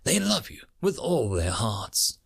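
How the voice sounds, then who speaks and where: A man voicing a wounded, exhausted alien marine in a story, devoted and brave, speaking haltingly and earnestly through pain. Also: medium pitch, big pitch swings, creaky, slightly rough, full character voice. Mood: tender, farewell.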